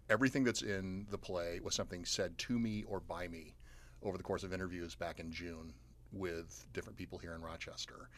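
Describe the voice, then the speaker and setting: A man's voice: low voice, a bit bright. A man from the American South, a playwright, talking candidly in a radio interview. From southern United States. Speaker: playwright and teacher.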